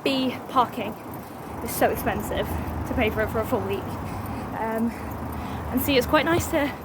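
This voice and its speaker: A woman's voice: on the high side, normal speed. A young woman chatting casually into a handheld phone as she walks down the street, with plenty of fillers. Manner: chatty, filler-heavy, walking while talking. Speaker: vlogger. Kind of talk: casual vlog chat. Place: outdoors on a street. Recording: phone vlog with street noise.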